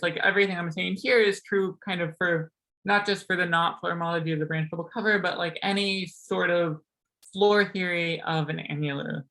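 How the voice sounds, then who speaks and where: A man's voice: fairly high pitch, gravelly, sharp tone. A young man with a slightly sore voice giving a casual math seminar talk, full of ums and likes. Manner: casual, many ums and likes, self-correcting. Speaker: seminar speaker.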